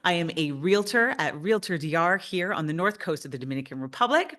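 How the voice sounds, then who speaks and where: A woman's voice: lowish voice, animated, a little fast, fairly bright tone. A woman in her fifties from Canada, presenting a webinar as a realtor in an upbeat, enthusiastic way.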